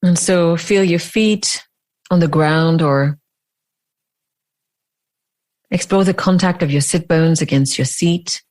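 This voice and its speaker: Slow, low-pitched woman guiding meditation. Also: darkish tone. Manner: slow, deliberate phrasing with long pauses. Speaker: meditation teacher. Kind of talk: guided meditation.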